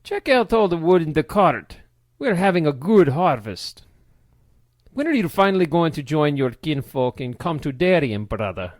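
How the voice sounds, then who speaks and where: A man voicing a big, boisterous old Scottish man with a booming, hearty Scottish accent. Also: very animated, normal speed, dry recording, clean studio sound.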